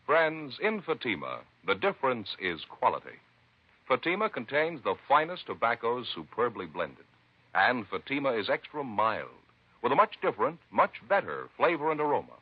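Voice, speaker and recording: A man's voice: medium pitch, wildly swooping pitch, moderate pace, breathy edge, dry recording. A man with an American accent delivering an emphatic, salesmanlike 1950s radio advertisement. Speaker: commercial announcer. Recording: dull vintage radio recording.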